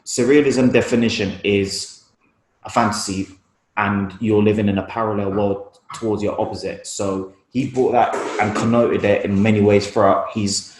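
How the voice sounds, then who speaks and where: A man's voice: on the low side, fairly even intonation, normal speed, a little hiss or hum. A young man with a London accent talking casually and informally about his work as a photographer in an interview. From East London, UK. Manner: informal, slangy. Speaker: guest photographer. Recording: roomy, echoey room sound.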